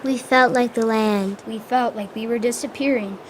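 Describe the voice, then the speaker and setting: A young female performer playing a wistful spirit of the winds, telling of the past in a solemn, measured way. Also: fairly high pitch, steady tone, a little hiss or hum, close to an everyday voice. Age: child or young performer. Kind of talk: school radio drama acting.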